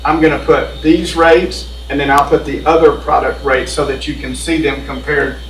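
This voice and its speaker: A man's voice: on the high side, little pitch movement, normal speed, recorded from a distance, slight background noise. Guy walking a meeting through insurance plans, echoey board room, a bit off mic. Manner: folksy, explanatory, repeats himself. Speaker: insurance benefits presenter. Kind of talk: explaining at a committee meeting.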